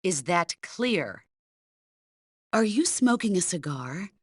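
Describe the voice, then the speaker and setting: A woman's voice: lowish voice, sing-song, slow, breathy edge, dry recording, studio quality, exaggerated voice. A middle-aged woman playing a stern, commanding veteran soldier who mentors her former protégé in a video game. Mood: stern, reproachful. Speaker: military mentor character. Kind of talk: video game voice acting, radio briefing dialogue.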